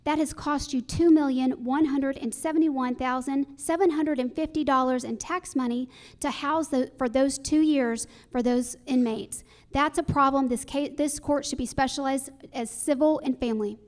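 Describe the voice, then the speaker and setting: High-pitched woman answering at candidate forum. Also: steady tone, moderate pace, darkish tone. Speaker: judicial candidate at a public forum.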